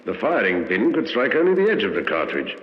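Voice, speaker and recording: A man's voice: fairly low pitch, huge pitch swings, brisk. An actor playing a commanding man in a crime story, on an echoey vintage radio drama recording. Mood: measured. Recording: muffled echoey old radio, loud music.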